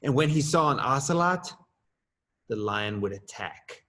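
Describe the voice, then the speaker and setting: Middle-aged guy reading his kids' story out loud, expressive, voice jumps up on the exciting bits. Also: mid-range voice, measured pace, slight background noise. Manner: expressive, lively rises on questions and exclamations. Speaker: assistant principal. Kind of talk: storybook read-aloud.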